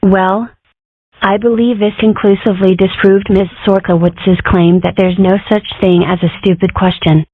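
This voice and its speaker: A woman voicing a mischievous young fox girl with cheeky, deadpan jokes in an amateur comic dub. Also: medium pitch, normal speed, no room sound, close to an everyday voice. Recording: dry, slightly lo-fi home recording.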